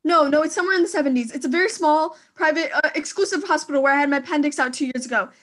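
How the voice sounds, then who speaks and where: A woman's voice: high-pitched, racing, a bit bright. A woman playing a panicking, demanding, self-pitying invalid wife in an old-time radio thriller, fretful and stammering. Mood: impatient, flustered.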